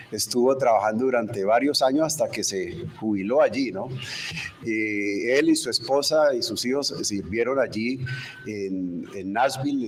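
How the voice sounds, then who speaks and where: A man formally introduces the guest preacher at a seminary chapel, stopping often to fill pauses as he goes. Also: on the low side, darkish tone, slight background noise. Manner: halting, with many filler pauses. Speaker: seminary host introducing the speaker.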